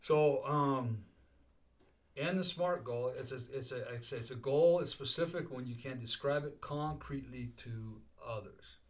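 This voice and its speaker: A man's voice: mid-range voice, a little slow. A man in his sixties hosting a life coaching podcast, talking casually and often repeating words and checking "okay?". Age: 60s.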